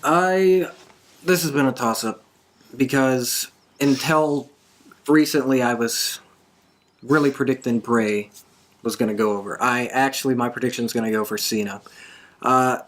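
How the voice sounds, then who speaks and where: A man's voice: mid-range voice, measured pace, long pauses, a bit bright. A man hosting a podcast, giving predictions in a casual, conversational way as he thinks aloud. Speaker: podcast host. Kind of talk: casual wrestling prediction talk.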